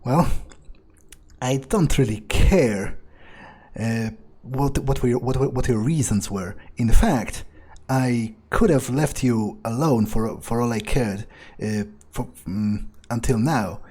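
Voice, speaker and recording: A man voicing an arrogant, menacing vampire predator in improvised video game roleplay, with a halting, smug, contemptuous drawl. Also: medium pitch, warm tone, slightly rough. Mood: smug menace.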